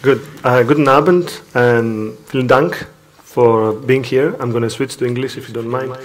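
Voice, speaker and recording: A man from Greece with a Greek accent giving an informal academic talk as a panelist, conversational and halting with repetitions. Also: mid-range voice. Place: public panel event in a library.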